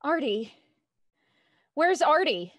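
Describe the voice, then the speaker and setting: A woman's voice: sits high, sing-song, slow, heavily acted. A woman playing an anxious, hesitant young actress in an audio drama. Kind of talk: radio play acting over video call.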